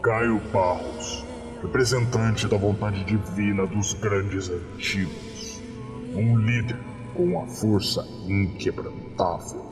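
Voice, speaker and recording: A deep-voiced, grandiose priest of an eldritch cult, acted by a man, preaches in solemn horror style. He intones each phrase very slowly and reverently, with long reverb washing around the words. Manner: ceremonial, reverent, grandiose, slow incantation. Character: solemn male cult priest worshipping ancient eldritch gods. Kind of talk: theatrical horror sermon. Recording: long cavernous reverb, music underneath.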